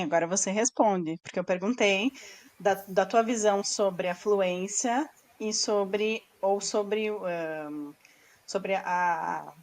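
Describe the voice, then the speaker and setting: A Brazilian woman hosting a podcast, steadily moderating the conversation. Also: mid-range voice. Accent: Brazilian Portuguese. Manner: steady, moderating, wrapping up points. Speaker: podcast host. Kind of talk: casual chat.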